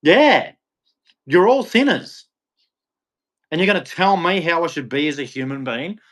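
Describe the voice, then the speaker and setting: A man's voice: a little high, lively intonation, unhurried, a bit bright. An Australian man streaming a blunt, confrontational rant to his chat, ending with a preachy religious sign-off. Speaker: live streamer. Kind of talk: ranting monologue to chat.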